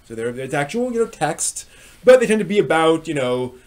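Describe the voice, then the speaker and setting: A man hosting a live stream review, talking unscripted in a halting way, often repeating and rephrasing himself. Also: fairly high pitch, big pitch swings, darkish tone. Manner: frequent throat clearing.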